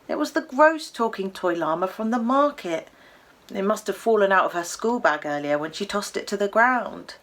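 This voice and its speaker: A British woman reading a children's story aloud expressively, with animated delivery and dramatic pauses. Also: medium pitch.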